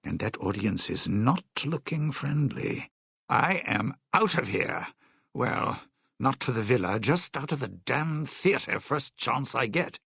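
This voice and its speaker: Suave aged showman, video game character, slow delivery, swooping theatrical pitch, sardonic, vain, raspy. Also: animated, fairly bright tone, no room sound, studio quality, full character voice. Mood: muttering, uneasy. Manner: theatrical, sardonic showman talking in stage metaphors. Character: suave, bitter century-old lounge singer, vain and scheming.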